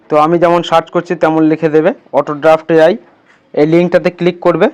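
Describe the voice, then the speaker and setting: A man's voice: sits high, a little mellow, smooth. A man hosting a casual step-by-step tutorial, instructing his viewers like friends. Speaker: tutorial host.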